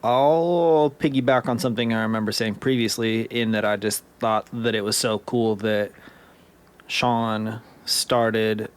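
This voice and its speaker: A man co-hosting a podcast, chatting in an animated, rambling way. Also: on the low side, a little slow, clean tone. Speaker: podcast co-host. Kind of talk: casual podcast chat.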